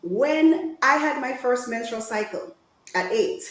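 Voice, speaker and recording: A woman's voice: on the high side, expressive, slow pace. A woman from Jamaica giving an informal, preacher-like health talk, engaging her listeners with questions. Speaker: guest women's health speaker. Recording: echoey room sound.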